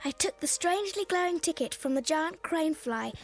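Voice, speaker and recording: A woman with a high voice narrates a whimsical, surreal tale in a storytelling style. Faint music plays behind her. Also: lively intonation, moderate pace.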